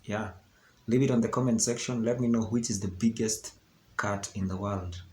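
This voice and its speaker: Kenyan man, low voice, roomy sound. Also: fairly even intonation, normal speed, darkish tone. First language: not English. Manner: chatty, repeats points with "okay? yeah". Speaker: vlogger. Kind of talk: casual educational vlog talk. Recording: roomy echo with faint background hiss.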